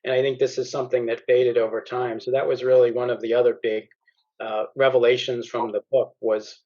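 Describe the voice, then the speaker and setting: A man's voice: mid-range voice, a little fast. Man explaining family research, muffled. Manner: relaxed, many fillers and restarts. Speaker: author and genealogy researcher. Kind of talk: explaining research over slides in a podcast chat.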